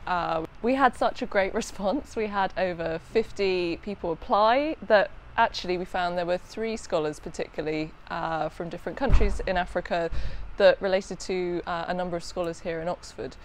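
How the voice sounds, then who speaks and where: A woman's voice: on the low side, moderate pace, a little hiss or hum. A woman speaking casually and upbeat in an interview, recorded outdoors in a courtyard garden on a lapel mic. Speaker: head of research partnerships.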